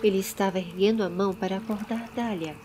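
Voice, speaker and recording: A woman's voice: mid-range voice, steady tone, fast talker, background noise. A woman with a Brazilian accent narrating a dramatized fantasy audiobook.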